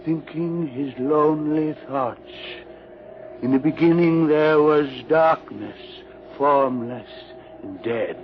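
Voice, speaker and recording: Old solemn legend keeper, slow chanting delivery, higher male voice, old radio drama. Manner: slow, incantatory, oracular recitation. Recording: muffled old radio recording with music behind.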